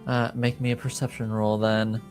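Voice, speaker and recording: A man improvising narration as game master of a tabletop roleplaying game, halting as he searches for words. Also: on the low side, level tone, faint music behind.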